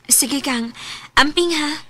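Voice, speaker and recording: A woman's voice: sits high, slow pace, sharp tone, heavily acted. A woman with a Cebuano Filipino accent playing an ailing, affectionate young wife and mother in a sentimental radio drama. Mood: weak, affectionate. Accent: Filipino, Cebuano-speaking.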